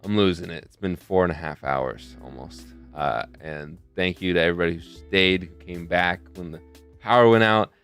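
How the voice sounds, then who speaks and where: A man's voice: low voice, moderate pace, fairly bright tone, clean tone, faint music behind. A young man hosting a charity live stream in a casual, rambling way, often losing his train of thought. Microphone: desk mic close to a face camera.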